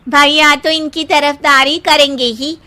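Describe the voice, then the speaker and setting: A woman's voice: high-pitched, fairly even intonation, a little slow, background noise. A woman voicing a vain, catty young sister-in-law in a family cartoon, with a sneering manner. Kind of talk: Hindi family cartoon dubbing.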